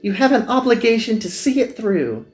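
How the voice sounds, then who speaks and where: A man voicing an earnest, gentle young magical girl in a visual novel, pleading and sincere. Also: extremely high pitch, sing-song, normal speed, roomy sound, soft background music, voice pushed up. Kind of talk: visual novel character dubbing.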